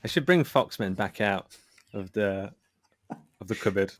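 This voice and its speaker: A man with a lowish voice talks casually as an interview guest. He is self-deprecating about his own creative work. The sound is dry, with little room around him. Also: expressive, unhurried, no echo. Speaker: podcast guest, author and filmmaker.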